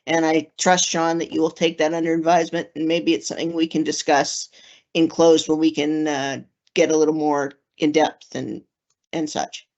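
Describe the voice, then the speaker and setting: A woman chairing a committee meeting, diplomatic and gently redirecting speakers. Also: sits low, flat delivery, moderate pace. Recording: dull lo-fi meeting audio.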